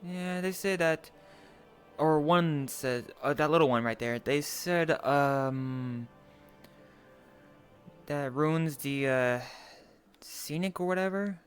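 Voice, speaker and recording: A person playing a cautious young student in improvised role-play, speaking haltingly with hums and sighs. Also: mid-range voice, lightly acted. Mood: curious. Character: young cautious student new to a world of magic creatures. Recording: music behind the voice. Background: background music.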